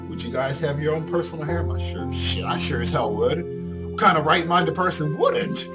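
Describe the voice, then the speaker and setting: A young man hosting a casual let's play, expressive and slangy, commenting and reading game text aloud. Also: a little high, normal speed. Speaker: gaming video host. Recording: loud guitar game music behind. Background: game music.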